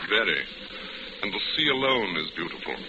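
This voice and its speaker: Enigmatic courteous stranger, lowish voice, musing, 1940s radio thriller, muffled, very noisy recording. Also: male voice, sing-song, moderate pace.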